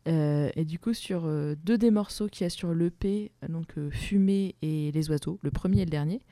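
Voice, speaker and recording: Woman, gravelly, dark tone, hesitant, lots of fillers, dry close mic. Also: deep voice, normal speed, no echo. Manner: hesitant, many fillers and restarts, laughs often. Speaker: musician and playwright. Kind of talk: casual interview answers.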